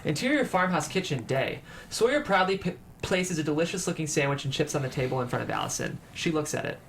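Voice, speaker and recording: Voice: medium pitch, animated, fast, a little hiss or hum. A narrator reading scene headings and stage directions at a screenplay table read, in scripted narration.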